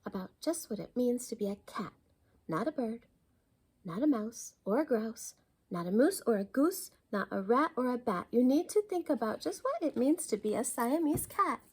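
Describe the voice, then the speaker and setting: A woman's voice: fairly high pitch, very animated, normal speed, slightly rough. A woman voicing a stern mother cat in a storybook, lecturing in an exasperated, emphatic way. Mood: scolding.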